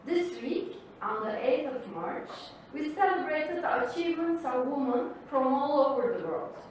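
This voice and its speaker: A woman whose first language is Turkish, hosting a wine evening and giving a formal, measured welcome speech. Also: sits high, big pitch swings, a little hiss or hum. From Turkey. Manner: slow, measured, formal delivery. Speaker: event host and wine professional. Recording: distant mic in an echoey hall.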